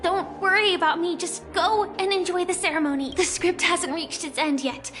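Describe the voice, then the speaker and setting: An actress voicing a quiet young woman on the run in a game, speaking softly and reflectively. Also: very high-pitched, fairly even intonation, normal speed, soft background music. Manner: soft, reflective, hushed. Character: quiet young woman fugitive, soft and melancholic. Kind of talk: game dialogue.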